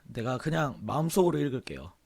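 Male game streamer, husky worn-out throat, offhand casual delivery with lively swings in pitch. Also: a little fast. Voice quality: hoarse, husky. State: sore, hoarse throat with throat clearing. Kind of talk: casual livestream chat, reading aloud and commenting.

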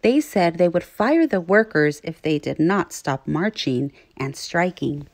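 A woman teacher reading aloud to young children, phrasing things clearly and putting questions to her listeners. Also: on the low side, lively intonation, unhurried, no room sound. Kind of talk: children's read-aloud narration.

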